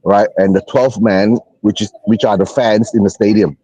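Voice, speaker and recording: A man's voice: lowish voice, fairly even intonation, normal speed, mellow, husky, rough recording. A man chatting about football on a live stream, hesitant and stammering, often tagging sentences with "right?". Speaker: panel guest. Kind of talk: casual football chat.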